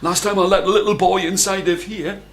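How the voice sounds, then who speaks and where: A man voicing the giant's kindly wife, a fussing woman who talks quickly and scolds her husband. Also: sits high, fairly even intonation, a bit bright, pitched above the performer's own voice. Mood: wary.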